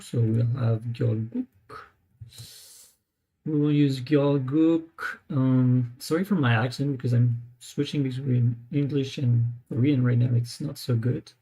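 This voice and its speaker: Slow, hesitant man thinking aloud. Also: mid-range voice, warm tone, husky. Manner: hesitant, many pauses and fillers, trying out words. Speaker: language learner streaming live. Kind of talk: live screen-share walkthrough, thinking aloud.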